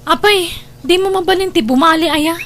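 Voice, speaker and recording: A woman's voice: extremely high pitch, a little fast, fairly bright tone, slight background noise. A woman playing a discontented, worried young wife in an audio drama, with an Ilocano Filipino accent. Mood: demanding.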